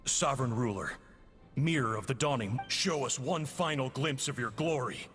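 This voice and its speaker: An actor playing a smug, coolly amused villainous agent in a video game, talking in a sardonic, theatrical manner. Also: male voice, mid-range voice, expressive, moderate pace, fairly bright tone, natural voice. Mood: grandly commanding. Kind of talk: dramatic video game voice acting. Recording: long reverb, faint music.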